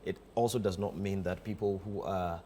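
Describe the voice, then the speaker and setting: A man's voice: low voice, steady tone, moderate pace, warm tone, a little hiss or hum. A man with a Ghanaian accent speaking as a guest in a casual interview, playful and emphatic, often repeating his phrases. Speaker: guest, theatre director.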